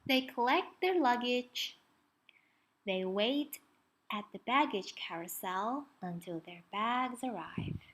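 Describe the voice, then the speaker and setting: A woman reading a children's story aloud, expressively, pausing to ask young listeners questions and point things out. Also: on the high side, animated, fairly bright tone.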